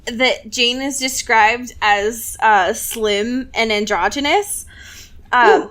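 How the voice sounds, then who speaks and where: Slow-paced, high-voiced woman chatting. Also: a bit bright. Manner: exasperated, dramatic fangirl talk with slang; giggly, laughs often. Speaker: podcast co-host. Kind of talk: casual book-discussion chat.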